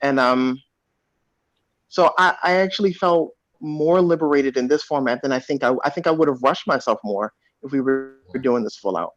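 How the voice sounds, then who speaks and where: A man, an actor, reflecting thoughtfully and casually in measured phrases on a dry, dull online call. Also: mid-range voice, fairly bright tone, dry recording. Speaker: actor on a panel. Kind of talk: casual reflective panel talk.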